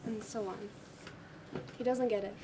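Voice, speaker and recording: A woman's voice: medium pitch, steady tone, a little slow, background noise, lightly acted. A young woman student acting in an amateur stage play as a discouraged, defiant drama student. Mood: downcast. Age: teenager or young adult student performer.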